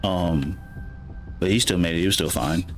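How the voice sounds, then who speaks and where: A man's voice: low-pitched, moderate pace, faint music behind. A man with a light Alabama accent, chatting casually and rambling to his chat while playing a game. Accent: light Alabama Southern. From Alabama, USA. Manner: rambling, chatty, self-correcting, reads chat aloud. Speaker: gaming streamer.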